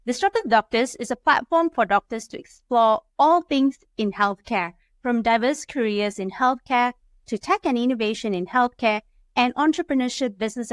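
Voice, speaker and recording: Woman hosting a podcast, smooth voice. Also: on the high side, no room sound, clean studio sound. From Malaysia. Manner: scripted, upbeat intro then chatty questions with fillers. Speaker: podcast host. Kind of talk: podcast interview.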